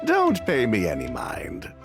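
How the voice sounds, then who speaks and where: An actor playing a breezy, theatrically polite gentleman in a video game, with an expressive manner. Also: a little high, takes it slowly, music in the background, full character voice. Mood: breezy, courteous. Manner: polite, expressive, saying mademoiselle.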